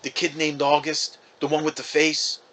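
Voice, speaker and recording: A man's voice: a little high, completely flat delivery, moderate pace, a bit bright, slight background noise, pitched above the performer's own voice, natural voice. A man voicing a reluctant, argumentative schoolboy, the older brother in a storybook, exasperated and insistent.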